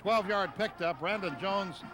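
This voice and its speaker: High-pitched man calling football on radio. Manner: excitable, calls plays in short rapid phrases. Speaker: radio play-by-play announcer. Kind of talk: live football play-by-play commentary. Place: stadium broadcast booth. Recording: noisy stadium radio broadcast tape. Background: loud stadium ambience.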